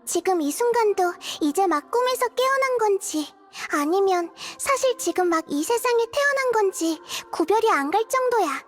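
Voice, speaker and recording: A woman voicing a gentle, thoughtful little girl god in a video game, with reflective, soft-spoken delivery. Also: extremely high pitch, expressive, moderate pace, hardly any pauses, faint music behind. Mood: wondering, earnest. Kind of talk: video game character dialogue.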